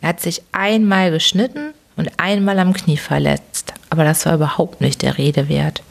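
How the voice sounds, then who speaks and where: A woman's voice: sits low, moderate pace, creaky. A woman voicing a loyal, earnest young man in an audiobook, answering plainly. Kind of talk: audiobook character reading.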